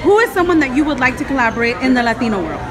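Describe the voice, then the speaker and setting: High, fast, eager woman interviewer. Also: big pitch swings. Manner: eager, gushing, complimentary. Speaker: interviewer, blogger. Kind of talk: friendly event interview. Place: busy indoor event hall. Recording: noisy crowd behind.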